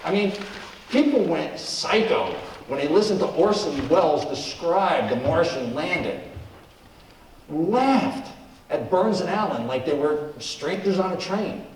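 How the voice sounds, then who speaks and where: A man's voice: fairly high pitch, lively intonation, a little slow. A man hosting a live show with a scripted, theatrical introduction. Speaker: live show host and performer. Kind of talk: scripted stage introduction and comic patter. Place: live in a studio before an audience. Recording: roomy, distant microphone, noisy stage recording.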